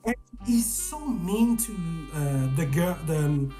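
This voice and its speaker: A man's voice: on the high side, very animated, slow pace, dark tone, faint music behind. A man from Canada, a guest reviewer in casual film chat, talking animatedly, often restarting sentences and swearing.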